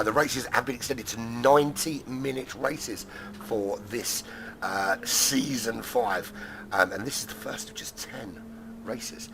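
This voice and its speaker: A man commentating a sim-racing league stream, hoarse and squeaky after losing his voice, chatty with lots of fillers. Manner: chatty, many fillers, bursts of excitement. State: hoarse, recovering from lost voice.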